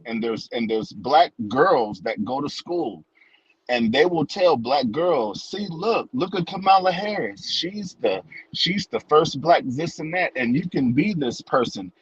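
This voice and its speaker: A man's voice: mid-range voice, a bit bright, breathy edge, no echo, highs cut off. A man questioning someone in conversation, insistent, stacking up repeated phrases as he builds toward his point. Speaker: questioner on a talk show.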